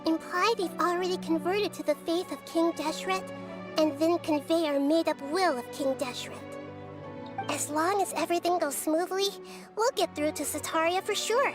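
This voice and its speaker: Game child deity: wise, gentle, high girlish voice, measured and thoughtful, music behind. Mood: calm, scheming. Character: wise, gentle child-sized goddess girl, calm planner. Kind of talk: video game dialogue acting.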